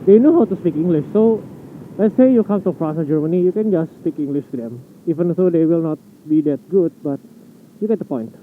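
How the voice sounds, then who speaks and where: A man's voice: on the high side, lively intonation, fairly quick, a little hiss or hum. Blunt Indonesian-accented young man, tinny sound. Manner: chatty, blunt, swears casually. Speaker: motovlogger. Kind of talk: casual vlog monologue.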